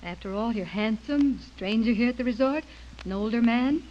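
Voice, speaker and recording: An actress playing a polite, fussing middle-aged wife and mother in a radio drama, smoothing things over. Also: fairly high pitch, normal speed, close to an everyday voice. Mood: confiding. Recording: dull lo-fi radio recording, faint noise.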